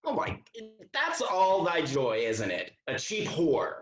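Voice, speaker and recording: An actor playing an earnest, courteous English colonel in a Restoration comedy, speaking in flowery period phrases in a roomy recording. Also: male voice, a little high, wildly swooping pitch, slow, a bit bright, exaggerated voice. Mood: scornful. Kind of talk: Restoration comedy stage acting. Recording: echoey, roomy sound.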